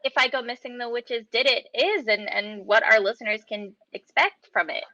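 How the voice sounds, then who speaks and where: A woman's voice: a little high, normal speed, bright, forceful tone, dry recording, lo-fi sound. A woman hosting a casual online interview, with an enthusiastic, friendly manner. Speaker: interviewer, host.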